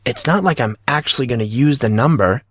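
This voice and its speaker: A man's voice: medium pitch, a little fast, a bit bright, no room sound. A man voicing a puzzled, skeptical fourteen-year-old schoolboy, questioning and sarcastic, in an audio drama. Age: teenager. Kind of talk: audio drama character reading.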